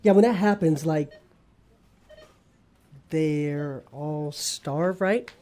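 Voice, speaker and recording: A man playing Famine as a sulky, inarticulate young dude in a comedic audio drama, halting and rambling in slang. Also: fairly high pitch, warm tone. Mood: confused, defensive.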